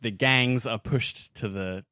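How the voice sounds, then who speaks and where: A man's voice: medium pitch, slow pace, pushed and bright, clear, resonant tone, dry recording. Casual, enthusiastic man, dry close sound. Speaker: podcast host and tabletop role-player. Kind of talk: casual discussion among friends.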